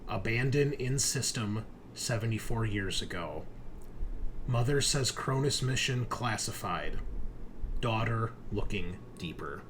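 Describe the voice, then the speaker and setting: A man's voice: on the low side, lively intonation, a little slow. A man running an online tabletop roleplaying game as game master, explaining things conversationally. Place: indoors at a computer, online game session. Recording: background noise with keyboard typing.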